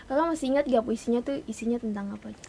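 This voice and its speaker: Woman host, fairly high voice. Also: fast, gravelly, mellow, breathy edge. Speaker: interviewer, host. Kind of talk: casual campus interview.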